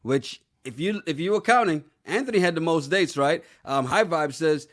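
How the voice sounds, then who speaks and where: A man's voice: fairly high pitch, animated, normal speed, fairly bright tone. A man co-hosting a casual podcast, laughing often and acting out quotes in his reality-show recap chat. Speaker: podcast co-host.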